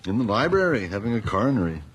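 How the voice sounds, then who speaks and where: Weary, very low-voiced North American detective. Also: male voice, sing-song, fast talker. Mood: impatient, skeptical. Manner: dry, sardonic, dismissive. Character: weary, cynical, gruff middle-aged male police detective. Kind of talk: 1980s radio drama acting. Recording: noisy old radio drama recording.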